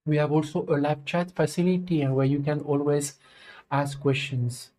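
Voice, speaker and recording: A middle-aged man from France with a French accent, hosting a live stream in halting, filler-filled English. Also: a little high, mellow, rough recording. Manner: halting, many fillers, non-native English phrasing. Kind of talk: casual spoken introduction.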